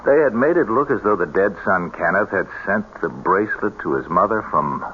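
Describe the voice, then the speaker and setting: An actor playing a polite, officious morgue doctor with courteous, procedural speech in a radio drama. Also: male voice, sits low, expressive, a little fast. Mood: courteous, inquiring. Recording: tinny, noisy old radio broadcast.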